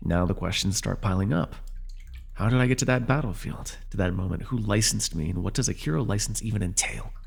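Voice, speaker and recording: A man's voice: sits low, gravelly, slightly rough, heavily acted. A man playing a bitter, commanding telepathic villain who was once a hero, speaking with sardonic deliberation in an ASMR superhero roleplay. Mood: wry, bitter. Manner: sardonic, deliberate, addressing a captive.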